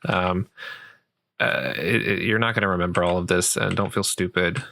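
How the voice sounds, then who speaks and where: A man playing a sweet, patient gamer boyfriend, softly and gently explaining a game in a casual, rambling roleplay. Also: sits low, fairly even intonation, moderate pace, a little hiss or hum, exaggerated voice. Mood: reassuring. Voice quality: soft, creaky voice. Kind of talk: roleplay ASMR, casual improvised game tutorial.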